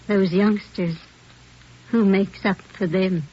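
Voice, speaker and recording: An actress playing a precise, officious warehouse auditor in a 1950s radio drama, speaking in a formal, orderly way. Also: female voice, mid-range voice, fairly even intonation, slow, lightly acted. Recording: muffled, faintly noisy old radio recording.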